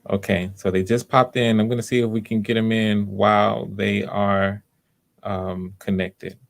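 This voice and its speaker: A man hosting a live online audition in a casual, encouraging, informal way, often pausing as he improvises. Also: fairly low pitch, flat delivery, gravelly. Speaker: audition host.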